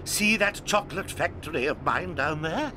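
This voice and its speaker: An actor playing an eccentric, excitable old male chocolate maker in a full-cast audio drama. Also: on the high side, normal speed, slight background noise. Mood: excited. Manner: excitable, theatrical, lively swings.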